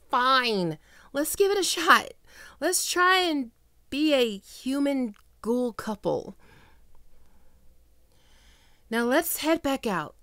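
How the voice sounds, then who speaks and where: A woman playing a guilt-ridden, tender young ghoul best friend in an intimate ASMR roleplay, speaking softly and haltingly with emotion. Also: high-pitched, no room sound, studio quality, full character voice. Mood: tender, relieved. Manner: soft, hesitant, emotional, halting.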